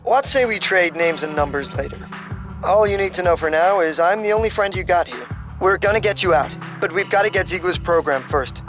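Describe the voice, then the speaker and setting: A man's voice: sits high, a little fast, close to an everyday voice, low-quality recording. A man playing a no-nonsense rescue commando in a video game, talking in clipped military phrases. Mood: urgent, businesslike. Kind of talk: video game cutscene acting. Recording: music behind.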